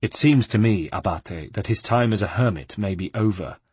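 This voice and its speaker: A man reading an earnest, patient adventurer in an audiobook, speaking in a measured, courteous, firm way. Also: lowish voice, darkish tone, slightly rough, no echo. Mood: intent, questioning. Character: earnest, patient male Italian adventurer seeking information.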